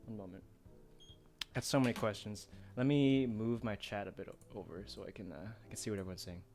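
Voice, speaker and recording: A man's voice: fairly low pitch, a little fast, creaky, a little mellow, soft background music. A man chatting casually and informally on a livestream as he plays, with a self-deprecating manner. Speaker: streamer. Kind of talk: casual livestream chat while gaming.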